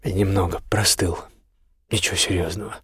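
A man's voice: sits low, steady tone, a little fast, a bit bright, husky, no echo, clean studio sound, full character voice. A man voicing a sick, exhausted and stubborn young earl in an audiobook, speaking in a weary, curt way.

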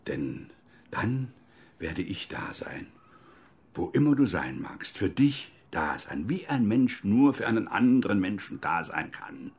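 An older man, an actor playing an embittered, stiff old army major in a radio play, with deliberate, biting delivery. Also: on the low side, very animated, darkish tone, husky, heavily acted. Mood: mocking, bitter. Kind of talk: radio drama dialogue, letter reading.

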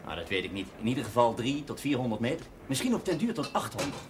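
A polite young mining engineer played by a male actor in a television drama. His medium-pitched voice moves in lively contours, though his manner is measured and deliberate. The old soundtrack has clear noise and clinking cutlery behind him. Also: close to an everyday voice. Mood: sober, measured. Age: young adult. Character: polite, level-headed young mining engineer, newly graduated. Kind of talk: naturalistic television drama dialogue.

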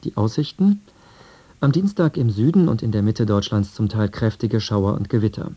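A man reading a scripted radio news and weather bulletin in a sober, measured manner. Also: fairly low pitch, dark tone, breathy edge. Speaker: radio newsreader.

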